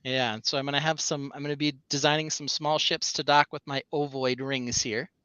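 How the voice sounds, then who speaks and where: Bright-voiced man, casual stream host. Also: a little high, little pitch movement, fairly quick, smooth, no room sound. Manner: rambling with many fillers and restarts. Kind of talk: casual live-stream chat.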